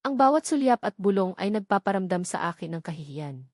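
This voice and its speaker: A woman narrates a scripted first-person love story. Her pitch sits in the middle and her delivery is measured and earnest. The sound is dry, with hardly any room in it. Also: sing-song, no echo. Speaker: narrator of a romance audio drama.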